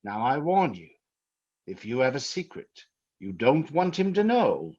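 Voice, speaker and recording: A man's voice: mid-range voice, big pitch swings, unhurried, darkish tone, slightly rough. A man acting as a grandiose nightclub master of ceremonies, announcing theatrically in old-time showman style, on a dull recording. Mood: grand, teasing.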